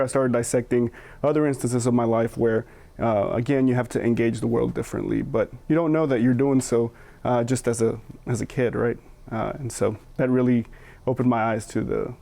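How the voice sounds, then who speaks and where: A man speaking as a panelist, thoughtful and hesitant, pausing often to find his words. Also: medium pitch, gravelly, dark tone. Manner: thoughtful, hesitant, with many fillers and restarts. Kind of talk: reflective panel discussion.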